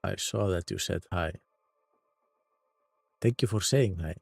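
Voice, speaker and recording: Icelandic guy streaming, reads chat out loud, low voice, dry close sound. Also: measured pace, vocal fry, husky, no room sound, faint music behind. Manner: reads chat aloud and greets viewers one by one. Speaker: live streamer. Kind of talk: casual live stream chat.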